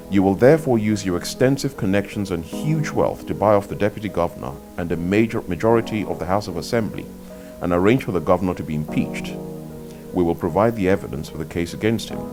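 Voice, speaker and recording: A man voicing an unseen abductor in a novel, formal, measured and coldly threatening. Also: deep voice.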